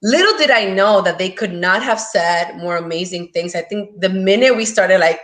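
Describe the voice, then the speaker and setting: A woman's voice: mid-range voice, normal speed, fairly bright tone. A woman in her thirties from the Dominican Republic, a guest on a video-call interview, chatting eagerly with frequent laughs and lots of "like", speaking into earbuds. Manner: enthusiastic, laughs often, fills with "like". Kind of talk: casual interview chat. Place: home room, on a video call. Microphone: wired earbuds with mic.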